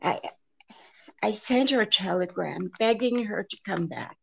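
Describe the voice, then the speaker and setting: An actress playing an anxious, genteel woman pleading for help in a 1940s-style radio drama, speaking haltingly. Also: medium pitch, lively intonation, creaky, slight background noise, rough recording. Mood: worried. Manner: hesitant, halting, faltering.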